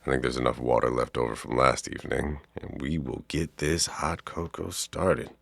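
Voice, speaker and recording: Man acting as a male art professor, extremely low pitch, heavy vocal fry, charming, playful, flirty, easygoing, intimate ASMR roleplay. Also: steady tone, full character voice. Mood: warm, flirty. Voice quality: very deep, gravelly.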